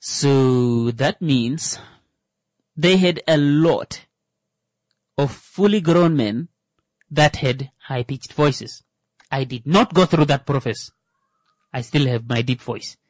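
A man's voice: medium pitch, slow, long pauses, no room sound. A man hosting a radio talk show, joking and rambling with many fillers. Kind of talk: comedic monologue.

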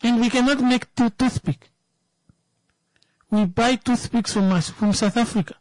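A woman's voice: a little high, unhurried, darkish tone, no echo, low-quality recording. Emphatic woman with dry sound. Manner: emphatic, repeats key words for stress. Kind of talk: passionate opinion talk with storytelling.

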